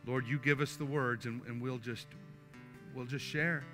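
An older man, a pastor, preaching and then praying at the altar in a church sanctuary, earnest and halting, often restarting his words. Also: mid-range voice, steady tone. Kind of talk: sermon and altar prayer. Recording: faint guitar music underneath. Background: soft guitar music.

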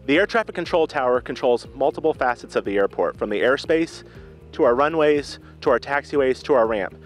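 A man in his thirties hosting a local TV segment with an upbeat, brisk presenter delivery. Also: medium pitch, expressive, faint music behind. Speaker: TV host. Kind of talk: local TV host interview. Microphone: handheld mic.

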